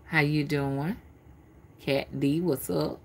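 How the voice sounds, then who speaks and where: A woman's voice: sits low, fairly even intonation, slow pace, creaky, slight background noise. A woman in her fifties talking casually on a live stream, rambling and often repeating her words as she goes. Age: 50s. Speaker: spiritual reader streaming live.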